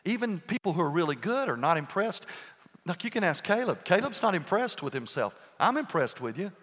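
A man's voice: high-pitched, brisk, breathy edge. Man, pastor, sermon, emphatic, swooping delivery, long hall echo. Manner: animated, emphatic preaching with rhetorical questions. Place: church sanctuary. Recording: long reverb in a church hall.

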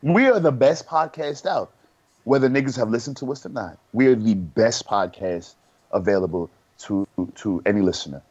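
A man's voice: fairly low pitch, normal speed, a little mellow, slightly rough. Brash, boastful man on a muffled podcast. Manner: brash, boastful trash talk. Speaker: podcast co-host. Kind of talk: casual banter.

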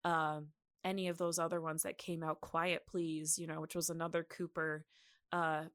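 A woman's voice: sits low, flat delivery, moderate pace, fairly bright tone, clean tone, no echo, clean studio sound. A woman co-hosting a podcast, telling researched history in an informal, conversational way. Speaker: podcast co-host. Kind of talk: casual podcast chat with researched history narration.

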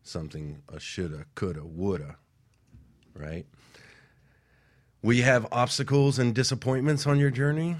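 A man's voice: medium pitch, measured pace, long pauses. A male co-host delivering an emphatic motivational speech with a preaching cadence.

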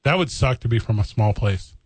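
A man's voice: medium pitch, expressive, a little fast, breathy edge, dry recording. A man from the St. Louis area co-hosting a casual sports podcast, riffing and joking. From St. Louis area, USA. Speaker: podcast co-host.

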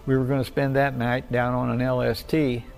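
Gravelly elderly American man reminiscing. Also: mid-range voice, level tone, moderate pace, a little mellow, faint music behind. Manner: folksy, rambling, colloquial. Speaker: WW2 veteran recalling service. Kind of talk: spontaneous oral history storytelling.

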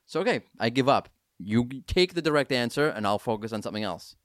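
A man hosting a podcast, talking casually and emphatically in quick bursts. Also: mid-range voice, animated, no echo, clean studio sound. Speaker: podcast host.

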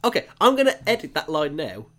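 A man's voice: fairly high pitch, quick pace. A man, co-hosting a podcast, joking dryly about films. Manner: wry, joking, lively intonation. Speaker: podcast co-host. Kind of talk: casual film chat.

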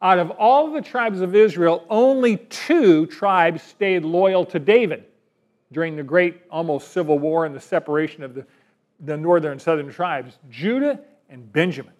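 A man with a high-pitched voice delivers a sermon. His preaching is emphatic and keeps turning to the congregation with rhetorical questions. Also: lively intonation, normal speed. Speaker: pastor.

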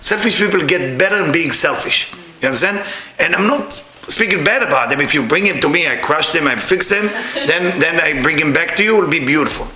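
A middle-aged man with an Israeli accent giving a spiritual lecture to a live audience. He speaks with non-native grammar and jumps up high when he acts out what other people said. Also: animated, fairly quick, pushed and bright, reverberant, rough recording.

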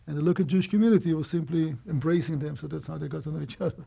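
A man in his sixties, born in Romania, telling his life story as an interview guest in a brisk, animated way. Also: fairly high pitch, vocal fry. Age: 60s. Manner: brisk, animated, quick asides and small laughs.

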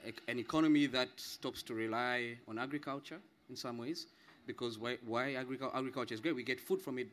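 Fast-talking man explaining emphatically. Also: medium pitch, a bit bright. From Zambia. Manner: emphatic repetition, frequent checking "right?". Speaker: panel guest. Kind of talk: spontaneous explanatory discussion.

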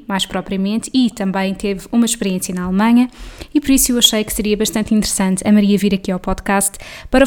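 A woman's voice: medium pitch, a little mellow, clear, resonant tone. Portuguese woman hosting a podcast. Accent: European Portuguese. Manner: measured, friendly announcing, brisk in chat. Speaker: podcast host. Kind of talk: podcast intro and interview.

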